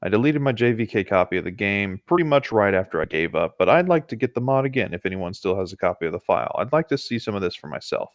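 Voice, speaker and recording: A man's voice: lowish voice, animated, fast talker, few pauses, no room sound, highs cut off. A man co-hosting a horror story show, reading aloud and chatting in an informal, conversational way. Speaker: co-host reading internet horror stories. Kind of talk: casual banter and story reading.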